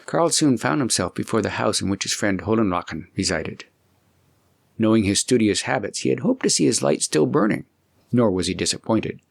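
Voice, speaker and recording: A man narrates a storybook with deliberate expression. His voice sits on the low side and carries a clear gravelly creak. The delivery is that of a scripted audiobook reading. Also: big pitch swings, no room sound.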